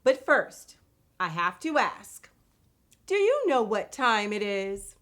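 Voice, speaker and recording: A woman hosting a children's read-aloud show, speaking in an animated way straight to her young viewers. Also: mid-range voice, slow.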